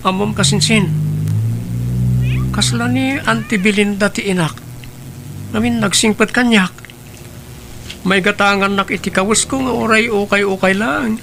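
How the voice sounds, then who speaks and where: A man's voice: sits high, unhurried, vocal fry, pauses often, background noise. A man playing a polite, wistful schoolboy in an audio drama, with a Filipino accent and a respectful manner. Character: polite schoolboy, wistful and neglected by his mother.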